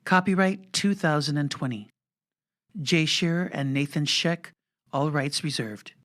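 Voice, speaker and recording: An announcer giving a formal, measured and solemn scripted reading for an audiobook. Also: medium pitch, fairly bright tone.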